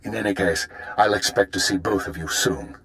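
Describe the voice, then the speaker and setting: Deep-voiced, composed imperial spymaster. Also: male voice, sharp tone. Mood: businesslike. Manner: formal, measured.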